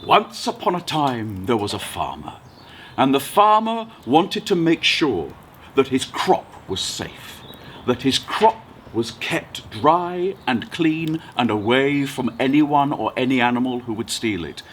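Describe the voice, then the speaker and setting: A man playing a deferential, patient royal courtier telling a bedtime story in a courteous, deliberate way. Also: medium pitch, big pitch swings, a bit bright. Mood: measured, courteous. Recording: noticeable background noise.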